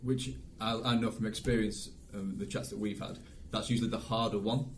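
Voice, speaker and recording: A man's voice: on the low side, steady tone, a little fast. Jokey man bantering, faint background noise. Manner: jokey, enthusiastic, giggly. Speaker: podcast co-host. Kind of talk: casual banter.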